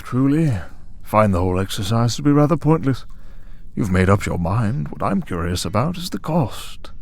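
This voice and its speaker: A man's voice: medium pitch, vocal fry, a little mellow. A man playing an urbane, taunting vampire villain in an audio drama, speaking in a mocking, leisurely, theatrical way. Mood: sardonic, probing. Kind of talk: scripted audio roleplay drama.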